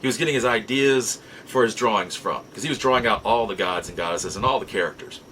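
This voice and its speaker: A middle-aged American man telling nostalgic personal stories in a casual, halting, reflective way with drawn-out pauses. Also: lowish voice, sharp tone, slight background noise, low-quality recording. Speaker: podcast host. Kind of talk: casual nostalgic storytelling monologue.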